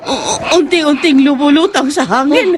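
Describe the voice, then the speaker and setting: A woman's voice: high voice, lively intonation, measured pace. A woman playing an anxious, easily frightened aunt in a horror audio drama, exclaiming and repeating her words. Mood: terrified. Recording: clear background noise.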